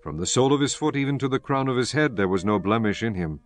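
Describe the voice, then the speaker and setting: A man's voice: mid-range voice, lively intonation, quick pace, soft background music. A man reading scripture as a narrator in a solemn, scripted style.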